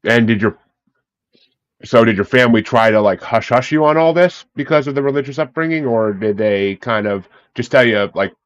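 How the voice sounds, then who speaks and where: A man's voice: medium pitch, moderate pace, no echo, muffled recording. A man hosting a casual interview show, talking in an informal, self-deprecating, rambling way. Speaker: podcast host.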